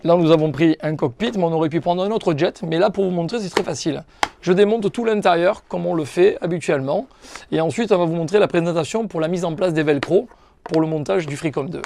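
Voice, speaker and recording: A man's voice: fairly high pitch, brisk, creaky, darkish tone, a little hiss or hum. A man giving an informal, step-by-step product tutorial as a shop presenter, explaining things directly to viewers.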